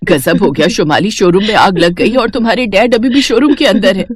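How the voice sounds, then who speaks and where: A woman's voice: mid-range voice, a little fast, breathy edge, no echo, clean studio sound, lightly acted. A woman voicing an anxious, practical middle-aged wife and mother in an audio story, earnest and urgent. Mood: frantic, pleading.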